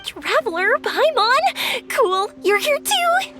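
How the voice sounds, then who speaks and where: A woman voicing a bubbly, warm-hearted young fireworks maker in an anime game, enthusiastic and teasing. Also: very high-pitched, very animated, slow pace, faint music behind, heavily acted. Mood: excited.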